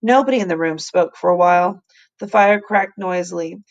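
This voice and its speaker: A woman, a teacher, reading a children's novel aloud in an expressive storybook style. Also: on the low side, normal speed, muffled recording.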